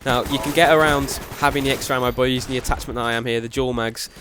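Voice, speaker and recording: A man's voice: medium pitch, noisy background. A young man with a cold, sounding nasal, commentating over gameplay and giving tips, often saying "very, very". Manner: quick, even delivery with repeated "very, very". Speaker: gaming commentator. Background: game gunfire and explosions.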